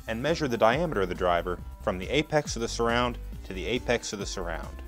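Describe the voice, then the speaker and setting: A man in his thirties giving scripted, methodical step-by-step instructions as a product demonstrator. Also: fairly low pitch. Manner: methodical, explanatory.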